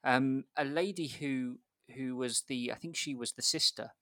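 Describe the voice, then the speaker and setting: A man's voice: mid-range voice, moderate pace, pushed and bright, no room sound, studio quality. Self-mocking man telling a story. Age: adult. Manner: self-mocking, laughing often through the tale. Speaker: guest storyteller. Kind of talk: casual anecdote.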